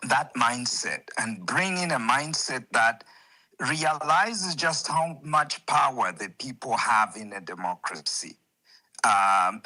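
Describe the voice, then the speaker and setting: Zambian-born male caller, slow, bright voice, thoughtful and halting. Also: medium pitch, rough recording. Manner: slow, hesitant, many restarts. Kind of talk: call-in comment.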